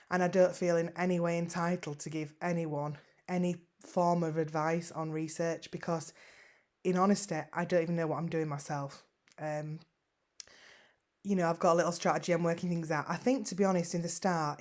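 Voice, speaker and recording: Chatty West Yorkshire woman, low voice. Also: darkish tone. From West Yorkshire, England. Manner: chatty, self-deprecating, many ums and repeated words. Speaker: online seller vlogger. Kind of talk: casual screen-share vlog.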